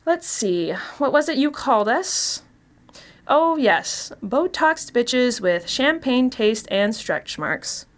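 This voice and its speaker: Actress as a confiding female killer, mid-pitched, telling a mystery tale with dark glee and conversational ease. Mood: gloating, menacing. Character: chatty, darkly gleeful woman confessing to killings. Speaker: actor reading a short story. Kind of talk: dramatic first-person mystery story narration.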